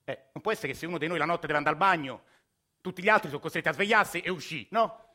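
A man, narrating and acting his own story in a radio theatre monologue, soft-spoken and hesitant. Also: on the high side, fast talker. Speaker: narrator and lead. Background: music.

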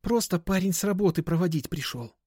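A man's voice: high-pitched, lively intonation, fairly quick, darkish tone, husky, no room sound, studio quality, voice pushed up. A man voicing an eager, stubborn young woman, demanding yet easily flustered, in an audiobook. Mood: flustered, placating.

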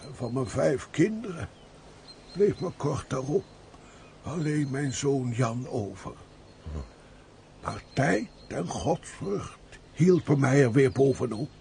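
Slow, creaky old peasant man. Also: mid-range voice, animated, mellow. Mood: wistful sorrow. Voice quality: creaky, aged voice. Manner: slow, emotive, full of sighs and exclamations. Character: pious, grateful, frail old peasant father of about seventy. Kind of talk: theatrical Dutch radio play acting. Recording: faint background noise, old radio play.

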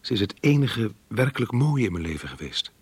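A man's voice: fairly low pitch, big pitch swings, fairly quick, breathy edge, full character voice. A man playing a resigned, tender schoolteacher in a radio play, musing in a wistful, reflective way. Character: melancholy, resigned, tender schoolteacher secretly devoted to a girl. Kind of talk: radio drama monologue.

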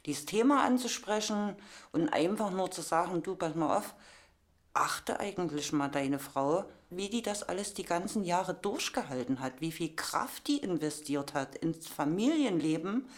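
Female interviewee, middle-aged, slightly low pitch, frank and plain-spoken, everyday colloquial phrasing. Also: expressive, unhurried. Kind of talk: frank personal account.

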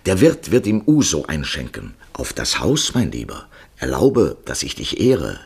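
Deep, husky male radio-play narrator. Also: wildly swooping pitch, a little slow.

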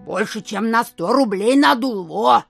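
An actress playing a simple-minded, suspicious old provincial landowner in an audio play, rambling in a folksy, gossipy way. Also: female voice, on the high side, lively intonation, a little slow, vocal fry, dry recording, faint music behind, full character voice. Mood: suspicious grumbling. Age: older.

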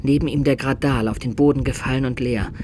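An actor playing a grave son and storyteller, narrating in the scripted style of a radio play. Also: male voice, medium pitch, steady tone, brisk, darkish tone, a little hiss or hum, natural voice. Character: emperor's son, grave storyteller recounting a mysterious death. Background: music.

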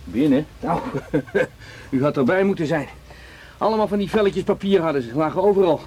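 Actor as a high-pitched, indignant, flustered cinema owner. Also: male voice, animated, moderate pace, a little mellow, lightly acted. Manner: agitated, stammering, repetitive complaining. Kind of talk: radio drama acting. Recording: noisy radio play recording with clicks.

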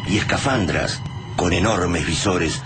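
Deep, grave radio-drama narrator, Argentine man. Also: slow. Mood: solemn, descriptive. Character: grave omniscient narrator of a science-fiction invasion. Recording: loud music under the voice.

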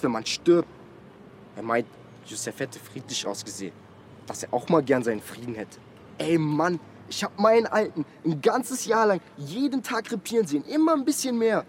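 A man's voice: a little high, a little hiss or hum, natural voice. A young man playing a blunt, worried working-class lad in a naturalistic radio drama, talking in brash street slang. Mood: bitter, agitated. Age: young adult.

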